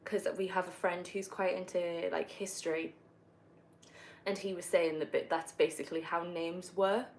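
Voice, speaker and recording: A young woman from northeast England talks casually to her stream viewers in a gently northeastern accent, her voice sitting a little low. She rambles, restarts sentences and fills gaps with little fillers. A faint hiss sits under the home recording. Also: flat delivery, normal speed, low-quality recording. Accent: northeast English, softened. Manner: chatty, rambling, many fillers and self-corrections. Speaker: livestreamer answering viewer questions. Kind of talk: casual live-stream chat while gaming.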